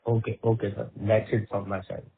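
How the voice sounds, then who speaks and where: A man's voice: on the low side, little pitch movement, a little fast, slightly rough. A man, an analyst phoning in to ask questions on an earnings call. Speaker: analyst caller. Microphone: telephone. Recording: muffled, narrow phone line.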